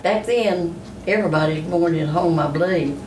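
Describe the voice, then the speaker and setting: A woman in her eighties recalling her birth slowly and thoughtfully in an oral history interview. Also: lowish voice. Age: 80s. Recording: distant mic, echoey room, audible noise.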